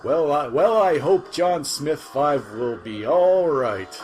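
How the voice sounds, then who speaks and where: A man's voice: fairly high pitch, expressive, slow, darkish tone, faint music behind. A man playing a clueless, pushy field reporter in a comic sci-fi audio drama. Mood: signing off. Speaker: news reporter interviewer.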